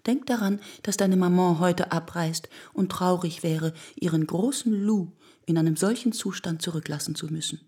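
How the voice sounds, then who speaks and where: A middle-aged mother in a radio drama, tender and worried. Her voice is rather low and husky, with a soothing, gentle manner. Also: moderate pace, few pauses. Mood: soothing, sad. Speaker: actor in a radio play. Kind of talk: German radio drama acting.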